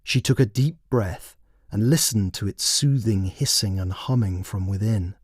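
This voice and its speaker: A man's voice: fairly low pitch, measured pace, a little mellow, slightly rough, dry recording, clean studio sound. A man reading a scripted short story as its narrator. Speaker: author and narrator.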